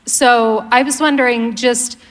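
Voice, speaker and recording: A woman with a fairly high voice asks a casual question from the audience. She talks slowly, and her words ring with the long reverb of a hall. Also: fairly even intonation. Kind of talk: casual question at a live panel.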